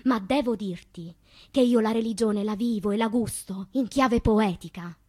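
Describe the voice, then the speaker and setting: An actress playing a sensual, unashamed woman poet in a deliberate, intimate theatrical monologue about her inner life. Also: mid-range voice, darkish tone, no room sound, clean studio sound, close to an everyday voice. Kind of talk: theatrical literary monologue with sung passages.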